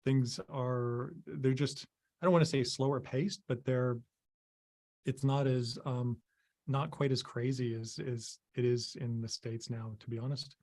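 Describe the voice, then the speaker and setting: A middle-aged man from Maine, a guest on a video call, speaking in halting, casual sentences full of ums and restarts. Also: medium pitch, steady tone, darkish tone. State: losing his voice, clearing throat. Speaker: interview guest, visual effects artist.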